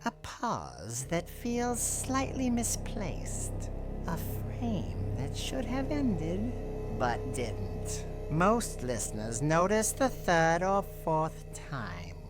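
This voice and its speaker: A woman with a very deep voice narrates scripted horror over prominent music. She speaks slowly in short, ominous phrases. Her pitch moves widely from one deliberate phrase to the next. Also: wildly swooping pitch. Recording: music behind the voice. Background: loud music.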